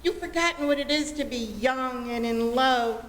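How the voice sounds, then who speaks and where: An actress playing a dreamy, melodramatic teenage daughter in love, in an old-time radio comedy. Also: female voice, high-pitched, moderate pace, natural voice. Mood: indignant, wounded. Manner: gushing, earnest, dramatic. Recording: reverberant hall, faint noise.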